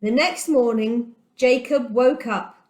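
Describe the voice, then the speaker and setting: A woman reading a children's Bible story aloud as a storyteller, with dramatic, deliberate delivery and emphatic pauses. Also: fairly high pitch, a little mellow, echoey room.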